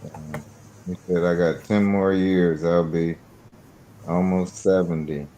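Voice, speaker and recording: A man in his late fifties with a very low voice chats casually about his own experiences. He speaks slowly and unhurriedly, with a faint rumble of noise behind him. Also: steady tone, mellow, low-quality recording. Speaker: podcast guest sharing personal experience. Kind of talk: casual personal conversation.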